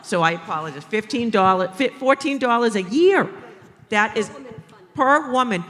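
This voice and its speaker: A man from the Boston area with a Boston accent, speaking on a conference panel about maternal mental health in an animated way, with joking asides and emphatic repetition. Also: high voice, normal speed, long reverb tail. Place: conference stage in a large hall.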